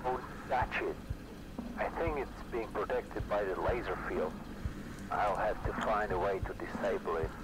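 A man's voice: lowish voice, unhurried, background noise, close to an everyday voice. An actor playing a snarky, money-minded younger male space scavenger in a video game, sardonic and reluctant. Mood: wary.

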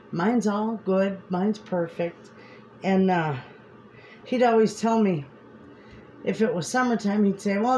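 A middle-aged woman chatting casually to camera in her home kitchen as she cooks, homey and quick to laugh. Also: mid-range voice, a little mellow. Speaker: homestead cooking vlogger. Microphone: camera across the kitchen. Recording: noisy home kitchen audio.

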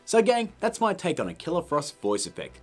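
A man's voice: a little high, wildly swooping pitch, brisk, a little mellow, soft background music. A man presenting a casual how-to tutorial in an upbeat, chummy manner. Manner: upbeat, chummy, addressing viewers as guys and gang. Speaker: tutorial host.

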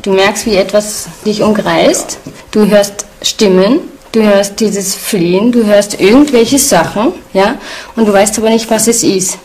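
A woman's voice: medium pitch, unhurried, darkish tone, slight background noise. A young woman giving a spontaneous interview account as a self-described psychic. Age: young adult.

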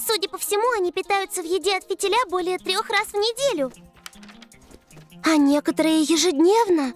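A woman's voice: extremely high pitch, fairly quick, faint music behind. A woman voicing a determined, eager little girl reporter in a cartoon dub. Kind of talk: animated series dub.

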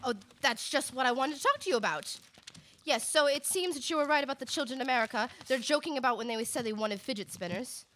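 A young woman acts out Santa's suspicious wife in a radio comedy. Her voice is a little high. She is brisk, businesslike and quick to accuse. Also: sharp tone, a little hiss or hum. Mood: exasperated. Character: suspicious, businesslike middle-aged wife, Mrs. Claus. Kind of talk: comic live radio soap parody. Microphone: stand microphone in small radio studio.

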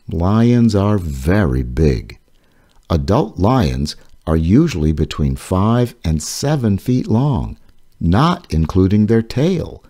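A man with a very deep, warm voice reads a children's book aloud at an unhurried pace. His intonation swoops up and down in a lively way to keep young listeners interested. He sounds gentle and clear, like a storyteller at bedtime. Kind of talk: slow children's read-aloud. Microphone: voice-over over book pages.

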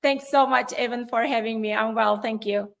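A woman's voice: on the high side, steady tone, moderate pace, vocal fry. Turkish-accented woman on a video call. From Turkey. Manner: fluent but hesitant, many uh fillers and restarts. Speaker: tech company executive guest. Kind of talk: casual business interview. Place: living room at home.

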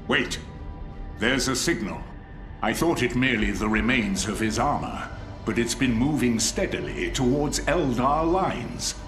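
An actor playing a stern, imperious Inquisitor in a video game, grave and commanding. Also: male voice, mid-range voice, lively intonation, measured pace, lightly acted. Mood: intent. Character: stern, imperious male Inquisitor pleading for military aid. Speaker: game character. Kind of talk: dramatic video game cutscene acting. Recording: roomy echo, music underneath.

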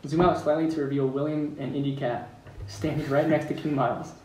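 A man's voice: on the high side, steady tone, fairly quick, darkish tone. A man reading stage directions as the narrator at a script table read. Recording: echoey room, distant mic, faint noise.

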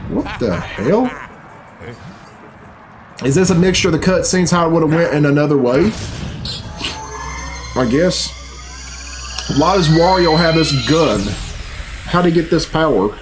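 Higher-pitched man grumbling through reaction commentary. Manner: blunt, grumbling, puzzled, swears freely. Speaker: reaction video host. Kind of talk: casual off-the-cuff reaction commentary. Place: small furnished room at home. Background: game cutscene music and sound.

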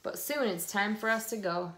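A middle-aged woman reading a children's picture book aloud in a deliberate storytelling way, recorded on a phone at home. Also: medium pitch, a bit bright. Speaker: read-aloud host. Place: indoors in a room at home.

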